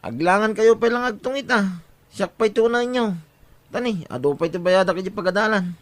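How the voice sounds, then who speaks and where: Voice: medium pitch, normal speed, no room sound, close to an everyday voice. An actor with an Ilocano accent playing a wheedling, boastful teenage son in an audio drama. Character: teenage son, wheedling, boastful student begging money from parents. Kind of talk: Ilocano radio-style drama acting.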